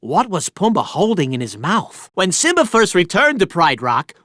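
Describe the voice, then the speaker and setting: A man's voice: high voice, wildly swooping pitch, moderate pace, sharp tone, no echo, clean studio sound, exaggerated voice. An actor voicing a wisecracking male meerkat who hosts a video game quiz, chatty and laughing at his own jokes. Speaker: quiz host.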